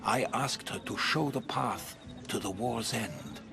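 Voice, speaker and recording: A cryptic storyteller of middle age in a video game, speaking fairly low over music. He is deliberate and grave, as if he knows more than he says. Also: gravelly. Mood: suspicious. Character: measured, cryptic middle-aged male Greek storyteller. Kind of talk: video game cutscene acting. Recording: music behind the voice.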